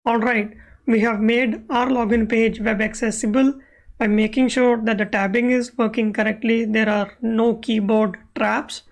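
A woman's voice: on the high side, level tone, moderate pace. A woman explaining code step by step as the instructor in a screencast tutorial. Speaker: web accessibility instructor.

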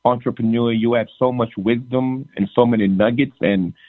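A man's voice: mid-range voice, fairly even intonation, moderate pace, dry recording. A man from Jamaica co-hosts a church-minded podcast and jokes and teases his guest in casual chat. He often repeats words as he goes. His voice comes through a narrow, tinny line with no room sound. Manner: joking, teasing, repeats words. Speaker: podcast co-host.

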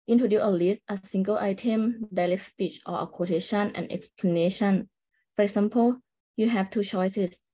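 A woman's voice: medium pitch, mellow, low-quality recording. A young adult woman, a student, reading a scripted class presentation aloud.

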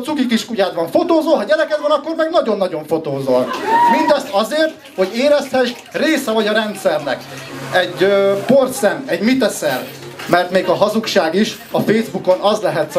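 A man in his twenties from Hungary performing rhythmic, rhyming slam poetry with a wry, ironic delivery and pauses before his punchlines. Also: sits high. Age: 20s. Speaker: slam poet, contestant.

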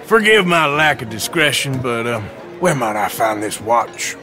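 An actor playing a gruff, sardonic American outlaw in a western video game, with a dry drawl. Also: male voice, mid-range voice, big pitch swings, measured pace, over music. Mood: wry. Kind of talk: video game cutscene acting.

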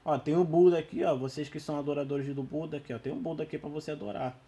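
A Brazilian man who is losing his voice, giving casual, slangy, excitable gameplay commentary. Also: fairly high pitch, fast talker, breathy edge. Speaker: gaming YouTuber.

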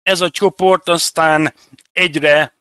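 An older man, an interview guest, explaining things conversationally in measured, separate phrases. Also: a little high, fairly even intonation, sharp tone, clear, resonant tone, no echo, studio quality. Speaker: interview guest, church representative.

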